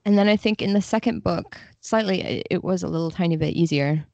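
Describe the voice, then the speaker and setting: A woman's voice: fairly low pitch, fairly quick, warm tone, dry recording, highs cut off. A woman speaking casually as a guest in an interview, explaining her work. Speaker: podcast guest, literary translator.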